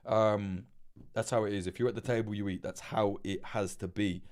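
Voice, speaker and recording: A man's voice: on the low side, fairly even intonation, moderate pace, darkish tone. A man hosting a casual livestream and answering viewer questions, talking frankly, swearing freely and rambling with restarts, into a desk mic at his laptop. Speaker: livestream host giving journalism advice. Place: indoors at a laptop with webcam. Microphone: desk mic through a mixer.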